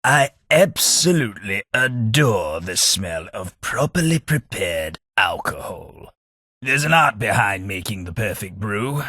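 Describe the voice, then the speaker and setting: An actor playing a gentle, hapless English gentleman and former clerk, with a soft, slightly husky voice and a mild, wry, self-deprecating manner. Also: lowish voice, sing-song, a little slow, dry recording, exaggerated voice. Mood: dry wry. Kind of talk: game character voice lines. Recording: dry studio booth.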